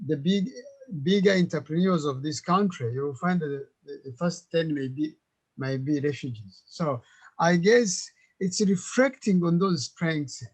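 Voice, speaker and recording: Earnest man, higher pitch. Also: darkish tone, husky. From Uganda. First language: Kinyarwanda. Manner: earnest, halting with repeated words. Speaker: guest. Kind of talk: webinar interview answers. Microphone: video call.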